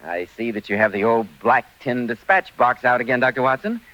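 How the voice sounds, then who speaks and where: A man's voice: medium pitch, lively intonation, a little fast. A man working as a radio announcer in a polished 1940s broadcast style. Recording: muffled 1940s radio recording.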